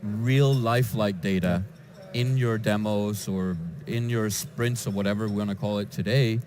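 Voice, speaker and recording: A man from Denmark, a database developer answering interview questions in a conference lounge, with frequent halting restarts. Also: lowish voice, steady tone, a little mellow, a little hiss or hum. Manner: hesitant, with many restarts and fillers.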